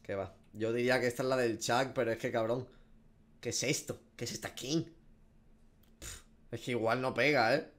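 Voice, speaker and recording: A man's voice: medium pitch, steady tone, normal speed, pauses often, a bit bright. A man in his twenties with a Spanish accent from Spain, giving slangy, sweary reaction commentary as a gaming streamer. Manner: informal, slangy and sweary, thinking aloud. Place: small home room.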